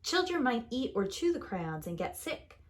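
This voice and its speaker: A woman reading a picture book aloud like a preschool teacher, in a deliberate, expressive storytelling manner that leans on key words. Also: fairly high pitch. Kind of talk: children's story read-aloud.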